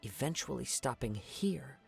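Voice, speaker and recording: Calm, formal young investigator. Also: male voice, medium pitch, vocal fry, soft background music. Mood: grave. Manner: measured, formal, grave. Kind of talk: anime game voice acting.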